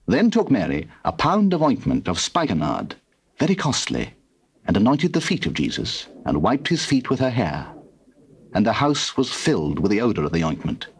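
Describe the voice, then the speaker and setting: A man's voice: lowish voice, a little hiss or hum. A man narrating a dramatized scripture in a formal, solemn storytelling manner.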